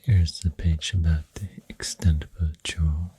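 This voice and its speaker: A soft-spoken ASMR host with a Swedish accent, rambling gently through facts in a hushed, breathy, whispery voice with unhurried pauses, close to the microphone. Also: very deep voice, steady tone, slight background noise. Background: pencil writing and clicking sounds.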